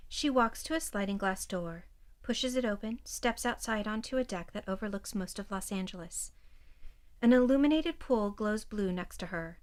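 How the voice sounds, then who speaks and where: A woman reading scripted present-tense narration for an audio drama in a measured, detached, descriptive way. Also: mid-range voice.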